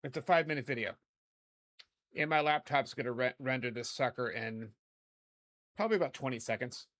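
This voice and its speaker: Hoarse, chatty man teaching editing. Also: mid-range voice, a little fast, fairly bright tone. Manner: chatty, rambling, self-correcting. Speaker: video editing tutorial host. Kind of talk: casual screen-recorded tutorial.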